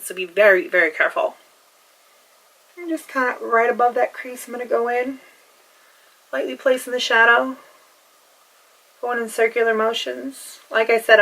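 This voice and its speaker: A woman's voice: a little high, fairly bright tone, low-quality recording. A woman talking through a makeup tutorial at home, chatty and gushing as she gives step-by-step instructions. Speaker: beauty vlogger.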